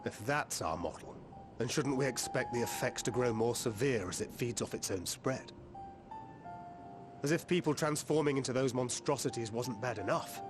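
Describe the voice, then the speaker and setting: An actor playing a grim, worried young adventurer reasoning aloud in a fantasy video game. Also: male voice, mid-range voice, moderate pace, natural voice. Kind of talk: scripted video game voice acting. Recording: background music under the voice.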